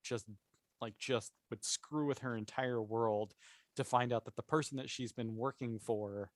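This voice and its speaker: A man's voice: mid-range voice, no echo, clean studio sound. A man talking casually as a podcast panelist, explaining his opinions and thinking aloud as he goes. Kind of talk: casual opinionated discussion of a TV series.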